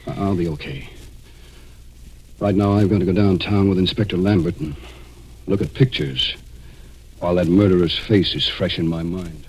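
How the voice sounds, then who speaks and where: An American actor in 1940s radio style playing a wounded, determined man telling of a murder hunt, dramatic and at times halting. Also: low voice, darkish tone, breathy edge, low-quality recording. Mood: firm. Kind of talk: 1940s radio acting, first-person narration and dialogue.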